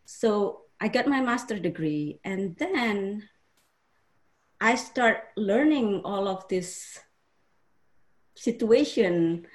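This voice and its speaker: A woman from Indonesia with an Indonesian accent, giving an informal personal talk as a panel speaker, haltingly searching for words. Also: medium pitch, animated.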